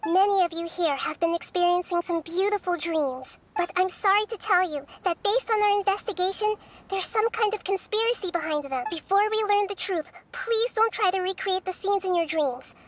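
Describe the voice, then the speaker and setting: A woman voicing a small, wise and gentle child goddess in a video game, speaking in a composed, articulate way. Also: very high voice, normal speed. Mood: grave, advising.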